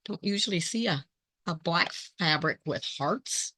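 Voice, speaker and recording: Slow, hoarse woman sorting fabric. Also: fairly low pitch, expressive, bright, forceful tone, no echo. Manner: thinks aloud, greets viewers by name. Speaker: quilting channel host. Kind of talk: casual chat while sorting fabric.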